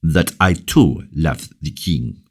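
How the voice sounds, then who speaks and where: A man's voice: a bass voice, slow pace, exaggerated voice. A man reading a novel aloud, voicing a cautious royal minister who speaks in a subdued, hesitant way. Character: scheming older male royal minister, cautious. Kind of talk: audiobook character reading.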